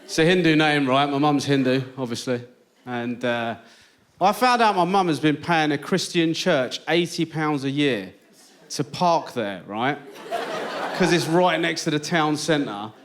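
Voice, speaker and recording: A man's voice: a little high, unhurried. A man with a British accent telling anecdotes in a live stand-up set. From Britain. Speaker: stand-up comedian. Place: on stage in a radio theatre. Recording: noisy live theatre recording.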